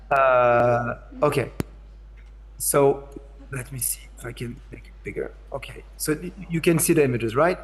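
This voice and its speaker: A man's voice: medium pitch, slow pace, long pauses, breathy edge. A man from France with a French accent, giving a hesitant lecture over a video call, often repeating words and checking in with his listeners. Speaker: invited speaker, curator. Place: in São Paulo, remote.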